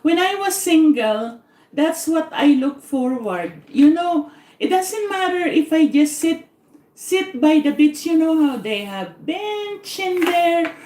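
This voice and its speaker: A woman's voice: very high voice, animated, takes it slowly, dark tone, echoey room. A middle-aged woman from the Philippines with a Filipino accent, chatting in a rambling, repetitive way on her cooking live stream, laughing often. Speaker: cooking vlogger. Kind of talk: live-stream casual chat.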